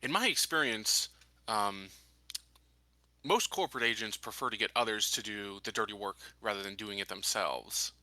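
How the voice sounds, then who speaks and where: Man playing a stern, low-voiced, suspicious official. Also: normal speed, bright, forceful tone, no room sound. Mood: measured, probing. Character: stern, suspicious male colony official interrogating an agent.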